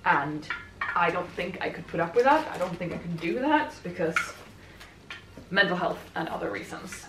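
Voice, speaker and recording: A woman's voice: lowish voice, expressive, normal speed, recorded from a distance, background noise. A chatty Swedish woman in her twenties rambles along in English with a Swedish accent, laughing between thoughts. Her voice comes from a little away from the camera, and the room around her echoes. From Sweden. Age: 20s. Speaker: book vlogger. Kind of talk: casual chat while assembling furniture. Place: room at home.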